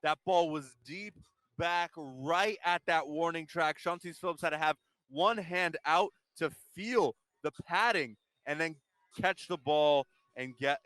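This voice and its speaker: A man working as color commentator on a softball broadcast, giving casual analysis between pitches. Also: dry recording.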